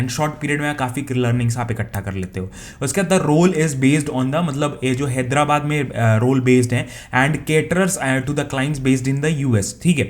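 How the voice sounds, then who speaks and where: A young man from India with an Indian accent, explaining remote job listings in a casual, conversational way, often restarting and checking in with the viewer. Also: mid-range voice. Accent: Indian English. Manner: conversational, frequent restarts and "theek hai?" check-ins. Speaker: career advice YouTuber. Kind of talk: casual explainer talk, Hindi-English mix.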